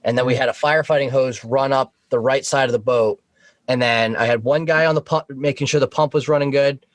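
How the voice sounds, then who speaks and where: Guy on a podcast telling job stories, sweary and informal, avg pitch, no room echo. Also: steady tone, fairly quick. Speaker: podcast guest, Coast Guard boat operator. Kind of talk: casual chat, telling work stories.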